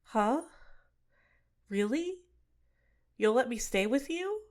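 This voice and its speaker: Soft-spoken young villainess, manipulative, close-mic ASMR; a woman voices her. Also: on the high side, lively intonation, takes it slowly. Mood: shy, apologetic. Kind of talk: ASMR roleplay.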